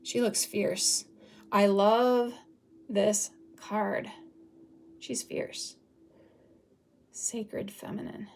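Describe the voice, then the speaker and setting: A woman with a raspy voice from recent illness, giving a casual, rambling tarot reading with many pauses and fillers. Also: medium pitch, little pitch movement, slight background noise. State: raspy from recovering from flu. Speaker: tarot reader.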